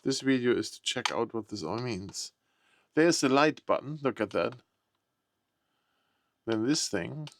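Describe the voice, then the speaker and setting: A man with a medium-pitched voice talks through a product unboxing. His delivery is casual and unscripted. He thinks out loud in short, fragmented remarks, often tentative as he works things out. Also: animated, a little slow, pauses often, a little hiss or hum.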